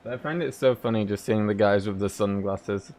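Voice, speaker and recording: A man's voice: on the low side, normal speed, a little mellow. A man giving casual gameplay commentary, musing in hesitant bits. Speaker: game streamer. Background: game music.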